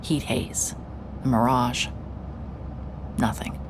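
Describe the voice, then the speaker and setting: A woman's voice: a bass voice, fairly even intonation, slow, background noise. A woman voicing a hard-hearted private investigator, narrating in a dry, hard-boiled inner monologue. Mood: guarded. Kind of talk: noir first-person narration.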